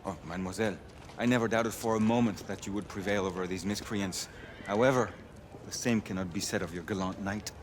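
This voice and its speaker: A man plays a gallant French marquis in a game cinematic, French-accented and wry. The voice is low and quick, full of flowery teasing, with clear ambient noise around it. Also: fairly even intonation, close to an everyday voice. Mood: playful, gallant. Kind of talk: cinematic video game acting.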